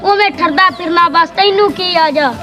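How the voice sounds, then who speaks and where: Very high-voiced poor schoolboy, voiced by a woman. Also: moderate pace. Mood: downhearted, explaining. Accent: rural Pakistani Punjabi. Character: poor young village schoolboy, shivering and downhearted. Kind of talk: amateur moral drama acting. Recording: music over amateur video sound.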